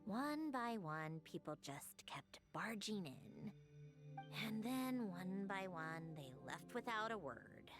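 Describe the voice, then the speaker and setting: A woman's voice: mid-range voice, sing-song, slow, over music. A woman voicing a prickly, melancholy young female machine in a video game, sardonic, weary and introspective. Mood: rueful.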